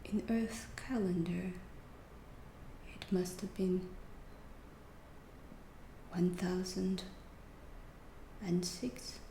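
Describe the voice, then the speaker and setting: Woman acting as female alien abductor, hushed ASMR whisper, very slow, soft mellow tone, calm and curious, clinical. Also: on the low side, creaky, roomy sound, a little hiss or hum, full character voice, low-quality recording.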